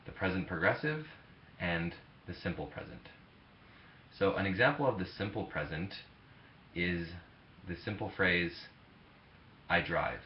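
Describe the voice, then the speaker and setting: A man in his twenties, a teacher, giving a relaxed webcam grammar lesson with many fillers. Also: low-pitched, slight background noise. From Canada. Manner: relaxed, halting explanation with fillers. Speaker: ESL teacher. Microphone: webcam mic. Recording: echoey room, dull lo-fi webcam audio.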